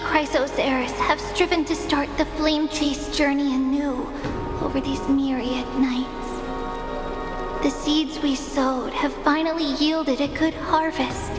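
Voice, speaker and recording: Brief: solemn game priestess, slow and high, incantatory, echoey hall with music. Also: female voice. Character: solemn woman priest leading a ritual. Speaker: ceremony leader. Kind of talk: game voice acting.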